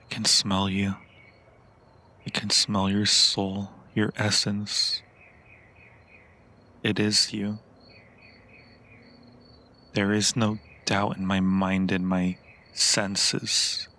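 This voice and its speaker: Slow, creaky seductive vampire played by a man. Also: lowish voice, monotone, sharp tone, full character voice. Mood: seductive, possessive. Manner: slow, romantic, theatrical, lingering pauses. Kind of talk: intimate ASMR roleplay, improvised monologue.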